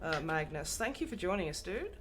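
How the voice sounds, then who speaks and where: A woman co-hosting a casual livestream, chatting in an animated, jokey way. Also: on the low side, fairly quick, soft background music. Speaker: livestream co-host and voice actor.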